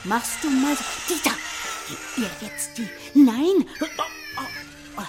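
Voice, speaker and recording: A hugely expressive grandmother, mid-pitched, with music behind her. She is spirited, chatty and playful in a children's radio play, taking her time over the words. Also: slow, full character voice. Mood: playful, coaxing. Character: spirited grandmother, chatty hairdresser held captive.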